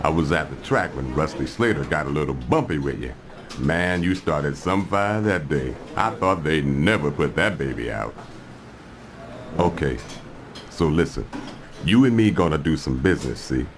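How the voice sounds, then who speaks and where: An actor playing a smooth, menacing gangster boss in a video game, with slangy, unhurried street-hustler swagger. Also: male voice, very deep voice, lively intonation, noisy background. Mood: cool swagger. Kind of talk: video game cutscene acting.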